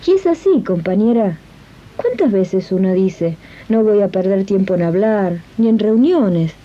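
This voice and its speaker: A woman's voice: medium pitch, lively intonation, unhurried, mellow. A woman acting an upbeat, supportive domestic worker in an old community radio play, speaking colloquially and encouragingly with an Argentine accent. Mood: persuasive, rallying. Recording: muffled noisy old radio tape.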